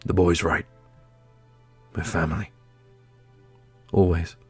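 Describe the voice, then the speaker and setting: A man playing a solemn, loyal prince and protective brother in a fantasy audio roleplay, speaking earnestly and deliberately. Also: sits low, steady tone, husky, exaggerated voice. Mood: solemn, tender. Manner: earnest, deliberate, grandly heroic. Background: orchestral music.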